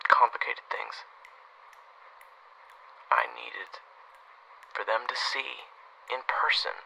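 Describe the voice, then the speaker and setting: A man playing a stubborn young man in an audio roleplay, speaking with dry, deliberate sarcasm. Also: medium pitch, vocal fry, sharp tone, full character voice, poor audio. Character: tense, stubborn 28-year-old male captor, sarcastic.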